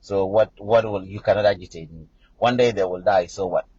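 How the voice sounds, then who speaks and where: Insistent South Sudanese man, husky and low. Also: steady tone, fairly quick, a little mellow, no echo. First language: Nuer. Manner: insistent, repetitive, combative. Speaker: livestream commentator. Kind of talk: livestream talk answering viewer comments. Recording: dull lo-fi sound.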